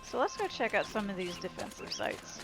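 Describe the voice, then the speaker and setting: A woman's voice: mid-range voice, huge pitch swings, brisk, gravelly. A woman giving casual gameplay commentary as she plays through a video game. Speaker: let's play gamer. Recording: game music behind voice.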